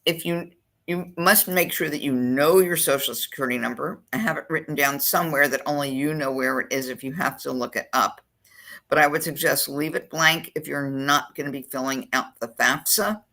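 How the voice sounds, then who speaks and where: A college admissions counselor leads a screen-share tutorial with a gravelly, creaky edge and a bright, forward tone. The delivery is chatty, with thinking aloud while clicking through form fields. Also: mid-range voice, steady tone, normal speed.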